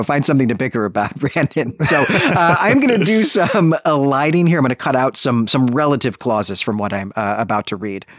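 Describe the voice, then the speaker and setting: A man's voice: medium pitch, no echo, studio quality. A man co-hosting a literary podcast, talking and reading in an earnest, reflective, bookish way. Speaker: podcast co-host. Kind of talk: literary discussion with passages read aloud.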